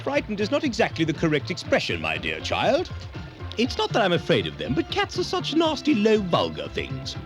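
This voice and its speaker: A woman's voice: on the low side, wildly swooping pitch, normal speed, faint music behind. A woman voicing a haughty, easily offended big mouse in a cartoon, speaking in a snobbish, over-precise, huffy way. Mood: haughty, disdainful. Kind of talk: animated cartoon dub acting.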